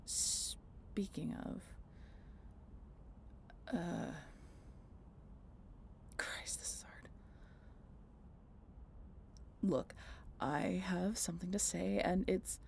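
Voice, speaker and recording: A woman playing a guarded, sardonic century-old vampire in an intimate audio roleplay, halting and casually profane as she talks to the listener. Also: fairly low pitch, fairly even intonation, vocal fry, a little mellow, whispered, full character voice. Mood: awkward, reluctant.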